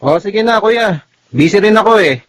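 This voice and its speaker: An actor playing a busy, evasive younger brother in a radio drama, casually making excuses. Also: male voice, high-pitched, expressive, normal speed. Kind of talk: Tagalog radio drama acting.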